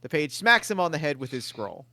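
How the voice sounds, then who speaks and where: A man's voice: on the high side, sing-song, a little fast, bright, forceful tone, dry recording. A man reading stage directions aloud at a table read in a plain, matter-of-fact way.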